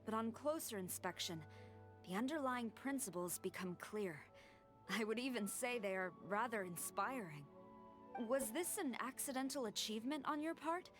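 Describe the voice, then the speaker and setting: Formal, high-voiced military general. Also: female voice. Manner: formal, precise. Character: formal, disciplined female military general, sternly admiring. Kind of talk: video game voice acting.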